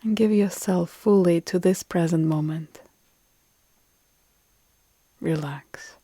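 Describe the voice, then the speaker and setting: A woman guiding a relaxation meditation in a calm, soothing, deliberate way with long pauses, her soft phrases trailing into breath. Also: lowish voice, breathy edge, no echo. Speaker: yoga nidra meditation guide.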